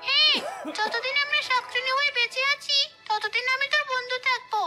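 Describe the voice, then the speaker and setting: Extremely high squeaky voice, loyal female cartoon ghost, played by a woman, reassuring, brisk, music behind. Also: bright, forceful tone, heavily acted. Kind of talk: cartoon voice acting.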